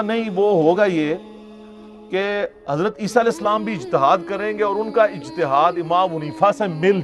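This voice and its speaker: A Pakistani man giving an informal religious lecture, arguing in a sarcastic, conversational way with rhetorical questions. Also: high-pitched. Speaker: Islamic preacher and lecturer. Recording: music playing behind the voice. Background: music.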